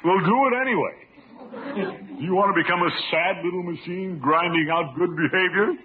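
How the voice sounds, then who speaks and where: A man's voice: sits high, moderate pace, close to an everyday voice. A man playing a blustery, fretful, pompous middle-aged uncle in an old radio comedy, with theatrical exclamations. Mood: exasperated, blustering. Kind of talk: 1940s-50s radio comedy acting. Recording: tinny, noisy old radio broadcast.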